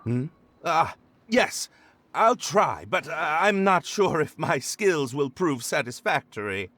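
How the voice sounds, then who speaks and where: A man's voice: a little high, sing-song, slow, fairly bright tone, no echo, full character voice. A man playing a nervous, eager-to-please underling in a video game, hesitant and apologetic. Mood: flustered. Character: nervous, eager-to-please male underling given kitchen duty. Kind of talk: scripted video game dialogue.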